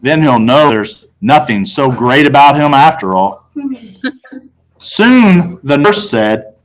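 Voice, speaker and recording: An American man reads a children's book aloud in the first person. His pitch sits in the middle and his delivery is expressive, like a teacher with a class. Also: slow, rough recording. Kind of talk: audiobook-style read-aloud of children's novel, first person.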